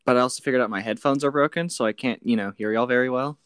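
A man's voice: medium pitch, rapid-fire, fairly bright tone, clean tone, dry recording, studio quality. A man talking casually with friends and reading a novel aloud. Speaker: co-host reading a book aloud for commentary. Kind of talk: casual chat and read-aloud.

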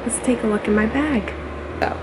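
A woman, chatting casually and haltingly to a camera in an offhand vlog style. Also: fairly high pitch, heavy background noise. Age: 20s. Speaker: vlogger. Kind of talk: casual beach-routine vlog chat. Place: small bedroom, indoors. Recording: loud music behind the voice. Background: loud music.

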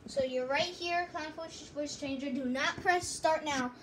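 Female tutorial host, high voice, casual and halting step-by-step delivery, noticeably noisy handheld recording. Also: a bit bright. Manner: halting, repeats and corrects herself. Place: indoors at a home computer. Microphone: handheld phone or camera, filming screen.

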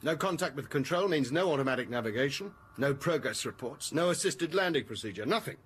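An actor playing a grumpy, commanding spaceship captain in a radio drama, giving brisk, curt orders. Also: male voice, mid-range voice, expressive, fairly bright tone, breathy edge. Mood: stern. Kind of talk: 1980s radio drama acting.

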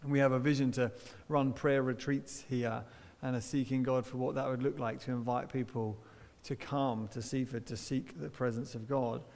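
A man's voice: medium pitch, fairly even intonation, normal speed, a little mellow. A middle-aged man preaching a sermon from a church hall stage, telling stories in a conversational way with self-deprecating laughs. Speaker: pastor.

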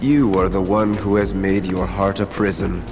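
An actor playing a cold, cryptic young villain in a video game, measured, ominous and condescending. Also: male voice, deep voice, level tone, faint music behind, lightly acted. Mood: cold menace.

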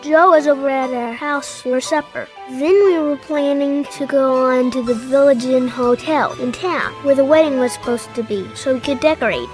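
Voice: high-pitched, normal speed, few pauses, faint music behind, lightly acted, poor audio. A performer playing an earnest, chatty eight-year-old boy who tells his story plainly in a radio drama. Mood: earnest narrating.

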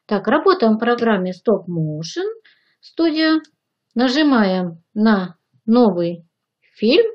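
A woman, a teacher giving step-by-step instructions in deliberate, short, simple phrases. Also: a little high, big pitch swings, highs cut off. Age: 60s. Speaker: children's art and animation teacher. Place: indoor room, plain wall.

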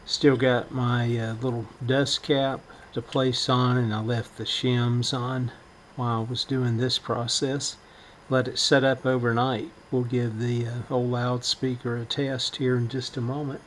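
A man's voice: medium pitch, flat delivery, a little slow, a little hiss or hum. A man giving casual, folksy narration of hands-on workshop work as the host of a how-to video. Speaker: host of radio restoration videos. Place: indoors at a workbench.

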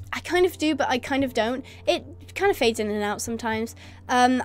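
A young woman from England talks casually to her camera, laughing often and filling pauses with ums. Her voice sits high, with faint music playing softly behind her. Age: young adult. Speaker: YouTuber. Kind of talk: casual vlog reading viewer comments. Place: indoors in front of a green screen. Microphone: facing camera at close range.

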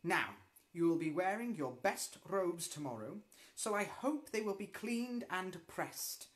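Brief: man doing a strict, frosty witch-school teacher, commanding, raised pitch. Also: animated, measured pace. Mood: stern. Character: stern, icy middle-aged woman witch teacher. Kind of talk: children's storybook reading.

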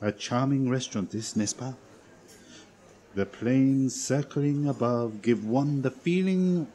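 An actor playing a courteous, self-assured, fussy Belgian detective with a French-speaking Belgian accent and precise delivery, in 1940s radio drama style. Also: male voice, medium pitch, takes it slowly, mellow, a little hiss or hum, natural voice. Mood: self-satisfied, explaining. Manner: precise, theatrical, with rhetorical tags. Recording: 1940s radio broadcast with music.

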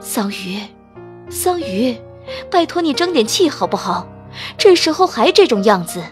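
A woman's voice: on the high side, sing-song, a little slow, faint music behind. Proud, restrained young businesswoman voiced by a woman. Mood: self-reproachful. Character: proud young businesswoman hiding old heartbreak.

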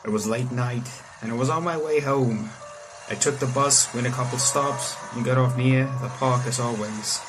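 A man in his late teens hosting a let's play, reading visual novel text aloud and breaking into animated asides. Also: medium pitch, normal speed, music in the background, rough recording. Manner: animated asides, exclaiming between read passages. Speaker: gaming channel host. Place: small room indoors at home.

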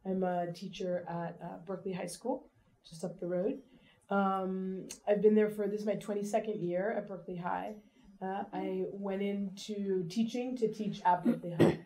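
A woman in her forties, a high school teacher from Southern California, introducing herself on a panel in a lecture room while losing her voice to a cold, in a friendly, self-deprecating way. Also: flat delivery, moderate pace, dark tone, poor audio. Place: lecture room, seated at table. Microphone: far camera.

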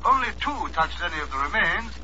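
A man's voice: sits high, very animated, a little fast, sharp tone, a little hiss or hum, full character voice. An actor playing a fussy, talkative British doctor in an old-time radio drama, formal and hesitant as he explains. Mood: grave, informative. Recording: muffled old radio recording.

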